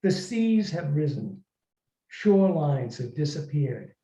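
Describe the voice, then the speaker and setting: A man speaking as an author at a literary reading, in a reflective, halting manner. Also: on the high side, very animated, warm tone. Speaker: author reading and discussing own work. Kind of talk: informal literary talk and story reading to an audience. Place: echoey room, recorded from a distance. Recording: muffled, echoey room, distant microphone.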